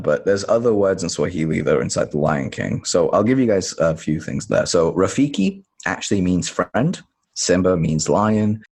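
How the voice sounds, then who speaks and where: A man from Tanzania, talking as a podcast guest in a relaxed, rambling way that often corrects itself. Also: low-pitched. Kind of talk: podcast interview conversation.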